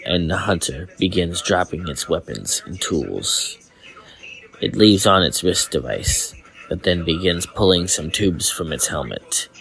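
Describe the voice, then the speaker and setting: A man's voice: low-pitched, a bit bright, poor audio. A man reading scripted fan fiction narration as a storyteller.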